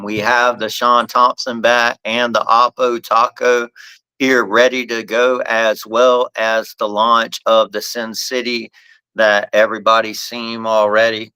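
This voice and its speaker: Bright, crisp-voiced man rambling on a podcast. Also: medium pitch, no room sound, rough recording. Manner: rambling, restarts phrases often. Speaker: podcast host and bat company owner. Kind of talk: casual live podcast chat.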